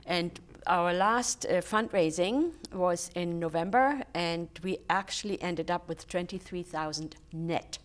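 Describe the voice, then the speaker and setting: An older woman whose first language is German, chatting in an interview with frequent fillers and restarts. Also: lowish voice. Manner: warm, halting, many fillers and restarts. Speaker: guest, charity volunteer. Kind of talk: casual interview chat. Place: local TV studio.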